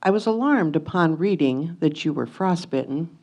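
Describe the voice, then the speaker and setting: Lady acting an 1850s wife reading her letter, soft dark mellow voice, curious and a bit worried, gentle and deliberate. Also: low voice, expressive. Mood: concerned, affectionate. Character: anxious, curious 1850s wife writing to her absent husband. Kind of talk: reader's theatre letter reading.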